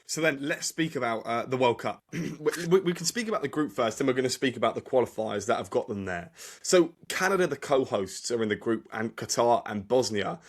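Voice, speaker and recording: Male host with a middling pitch, friendly and direct when questioning guests. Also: expressive, a little fast, hardly any pauses. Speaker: podcast host. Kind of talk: interview questions.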